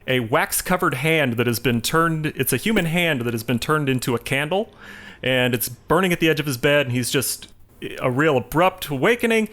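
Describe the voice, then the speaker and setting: A man co-hosting a podcast, telling a story casually in a halting, self-correcting way. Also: a little high, animated, a bit bright. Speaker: podcast co-host. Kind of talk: casual film recap discussion.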